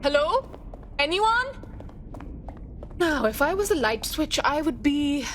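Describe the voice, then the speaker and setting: A woman's voice: sits high, sing-song, takes it slowly, fairly bright tone, faint music behind, exaggerated voice. A woman playing an eager, casual young hacker recruit in a video game. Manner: casual, flippant.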